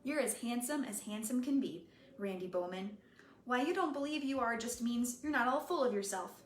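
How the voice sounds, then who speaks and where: A woman's voice: fairly high pitch, expressive, normal speed, a little hiss or hum, close to an everyday voice. A woman reading a teasing teenage girl. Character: spirited, caring teenage girlfriend. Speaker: reader. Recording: roomy echoey room.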